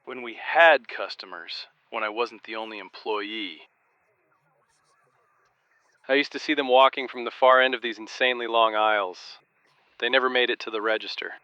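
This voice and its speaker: Muffled lo-fi message, desperate trapped cashier, highish tense voice, anxious, emotional, audio drama. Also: male voice, bright, forceful tone, no echo. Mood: haunted, subdued. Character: desperate trapped male store clerk, increasingly unravelling. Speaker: store cashier.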